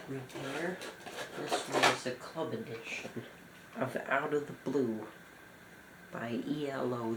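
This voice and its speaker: A man with a middling pitch presents items from a collection in a casual way. He speaks in short, halting, informal phrases. The room is echoey and there is clear noise in the recording. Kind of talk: casual show-and-tell commentary.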